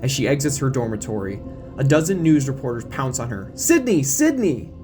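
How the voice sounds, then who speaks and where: A man's voice: medium pitch, fairly quick. A man reading an audio drama as a scripted, dramatic narration, voicing all the parts himself. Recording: music under the voice. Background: music.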